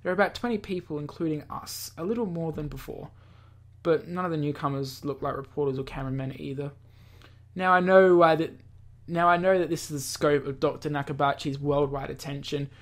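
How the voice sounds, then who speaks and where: A man's voice: on the high side, fairly quick. Man reading a visual novel aloud. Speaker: gaming YouTuber. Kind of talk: visual novel read-aloud with casual commentary. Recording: game music behind. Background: visual novel game music.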